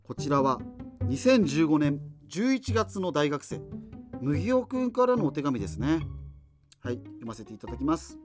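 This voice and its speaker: A man's voice: on the high side, sing-song, moderate pace. An actor playing a rambling, opinionated, half-joking male host of a fictional subculture radio show. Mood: rambling, musing. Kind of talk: radio drama as late-night talk show. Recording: music behind the voice. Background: music.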